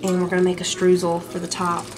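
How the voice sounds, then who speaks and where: A woman's voice: fairly low pitch, completely flat delivery, fast, distant mic. A woman with a Southern US accent, hosting a home cooking vlog and chatting with viewers as she cooks. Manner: chatty, addresses viewers as y'all. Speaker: vlog host, home cook. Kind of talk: casual cooking vlog narration. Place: home kitchen.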